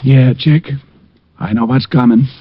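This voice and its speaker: An actor playing a detective who imitates a meek old blind beggar in a 1950s radio drama. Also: male voice, mid-range voice, steady tone, unhurried, voice pushed up, lightly acted. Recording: dull old radio broadcast, distant.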